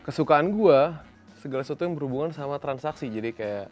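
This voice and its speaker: A man from West Java with a Sundanese accent, a guest actor chatting casually in an interview, telling his story in a relaxed way and dropping English phrases into his talk. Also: mid-range voice, fast, soft background music. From West Java, Indonesia.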